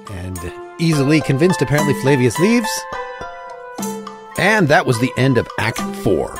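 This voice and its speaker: A male podcast host makes informal announcements between segments. His mid-pitched voice moves around animatedly, with music playing behind it. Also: very animated, moderate pace. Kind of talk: informal linking narration and announcement.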